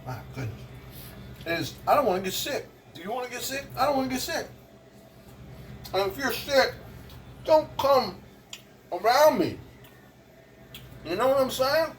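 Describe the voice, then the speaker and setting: A man's voice: very high voice, very animated, unhurried, long pauses, distant mic, slight background noise. A man in his sixties, a vlogger and motivational speaker, chatting casually to the viewer in a rambling way while he cooks in his kitchen. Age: 60s. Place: home kitchen.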